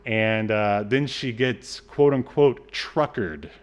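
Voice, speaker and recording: A man's voice: on the low side, takes it slowly. A man co-hosting a podcast, recapping a film casually in a sardonic, exasperated way with some swearing. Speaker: podcast co-host.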